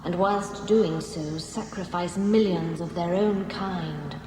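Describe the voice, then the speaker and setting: A woman playing a cold, superior ship intelligence in a radio drama, speaking gravely and formally with stern judgement. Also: lowish voice, takes it slowly. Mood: stern insistence. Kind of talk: 1980s radio science fiction drama acting. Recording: echoing, noisy old radio broadcast.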